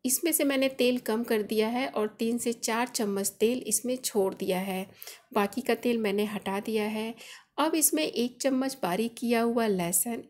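Woman host, casual home-cook voice-over, slightly high voice, patient and explanatory. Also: darkish tone. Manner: friendly instructive, chatty home-cook explaining. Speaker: cooking channel host. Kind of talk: recipe voice-over narration.

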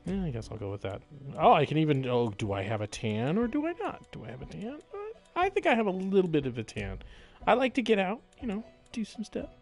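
A man giving casual live gameplay commentary, musing aloud in a jokey, drawn-out way. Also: on the high side, wildly swooping pitch, faint music behind. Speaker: game streamer.

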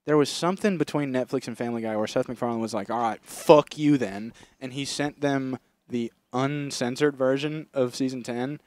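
A man's voice: medium pitch, normal speed, hardly any pauses, a little mellow, dry recording. A young man in casual comedic podcast chat, telling crude anecdotes full of swearing into a close microphone. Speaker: podcast co-host.

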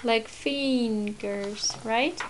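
Mother reading a picture book to a small child: female, high-pitched, slow, prompting word by word. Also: a little hiss or hum, low-quality recording. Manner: expressive, instructive, prompting word by word. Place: at a wooden table indoors at home.

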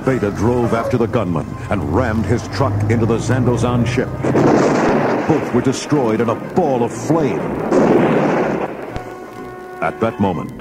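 A man narrates a scripted storybook tale. His pitch sits in the middle, and loud music plays beneath his reading. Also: animated, a little slow, pauses often.

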